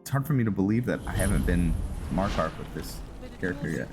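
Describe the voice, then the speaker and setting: A man giving casual commentary on his roleplay game playthrough, halting with many fillers. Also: deep voice, expressive. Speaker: roleplay gaming host. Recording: voice over loud game music.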